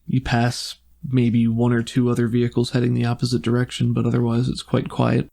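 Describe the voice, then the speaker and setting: A man's voice: mid-range voice, completely flat delivery, fairly quick, vocal fry, warm tone. A man narrating an improvised tabletop roleplaying game as game master, describing scenes in cinematic detail.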